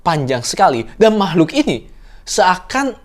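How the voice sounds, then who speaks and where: A man's voice: high-pitched, sing-song, a little slow. A man hosting a horror reaction video, giving dramatic, suspenseful commentary with rhetorical questions and exclamations. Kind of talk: dramatic storytelling commentary to viewers.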